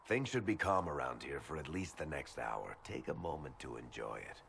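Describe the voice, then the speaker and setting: An actor playing a world-weary but teasing male adventurer in a video game, with a deep gravelly voice and a wry manner. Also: animated, moderate pace, a bit bright, slight background noise, exaggerated voice. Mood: lightly teasing. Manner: wry, sardonic, conversational. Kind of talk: video game character dialogue.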